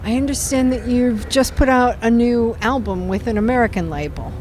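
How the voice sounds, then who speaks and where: Woman interviewer with a highish voice. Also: normal speed, darkish tone. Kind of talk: casual chat. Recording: outdoor recording with urban ambient noise.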